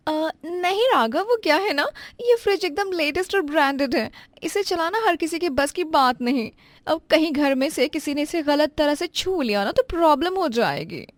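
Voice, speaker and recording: Female narrator as rich, miserly young daughter-in-law; high, animated, fake-sweet with a sharp, snobbish edge, dry studio sound. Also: normal speed, no room sound. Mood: haughty, dismissive. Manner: affected sweetness turning sharp and condescending.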